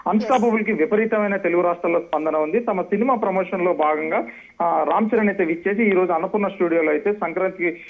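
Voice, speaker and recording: A tinny phone connection carries a male news reporter with a high voice. He talks very quickly, giving a wordy, off-the-cuff live report. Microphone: phone call. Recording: tinny narrow phone line.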